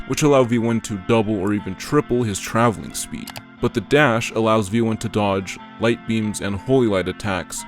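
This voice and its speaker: A man reading scripted narration for an analysis video in an explanatory, measured way. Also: lowish voice. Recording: music behind the voice.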